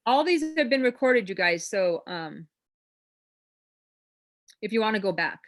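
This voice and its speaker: A woman's voice: medium pitch, expressive, a little slow. Chatty, encouraging woman coach. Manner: chatty, encouraging, rambling with fillers. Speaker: class host, real estate trainer. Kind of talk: informal coaching talk.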